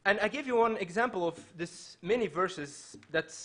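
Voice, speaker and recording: Man, 30s, from Belgium, Dutch native, fairly high voice, husky, earnest measured lecture, table mic. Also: expressive, fairly bright tone. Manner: earnest, measured, with repeated restarts. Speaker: panelist speaker. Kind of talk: public lecture. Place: lecture hall with audience. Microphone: table microphone.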